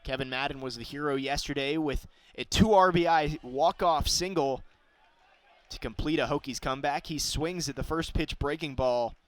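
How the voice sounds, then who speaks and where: A young man with a fairly high voice does live baseball play-by-play. He describes the action in detail and lifts his delivery for big plays. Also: normal speed, clear, resonant tone, no room sound. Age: young adult. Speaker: play-by-play announcer.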